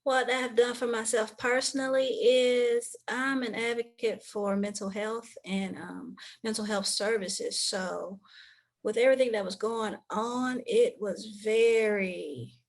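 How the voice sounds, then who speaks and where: Gravelly-voiced Southern American woman guest. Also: a little high, fairly even intonation, measured pace. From southern United States. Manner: upbeat, practical advice-giving. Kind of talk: interview answers.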